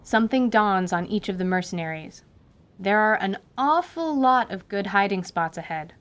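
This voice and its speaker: A woman narrating a fantasy radio drama with lively storytelling. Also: medium pitch, normal speed, a little hiss or hum.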